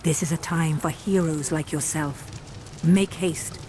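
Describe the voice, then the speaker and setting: A woman playing a grave storyteller who narrates a fantasy video game with theatrical, ominous and deliberate phrasing. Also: deep voice, steady tone, slight background noise. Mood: urgent, foreboding. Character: grave, dramatic female storyteller of a fantasy adventure. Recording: loud music behind. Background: dramatic game music.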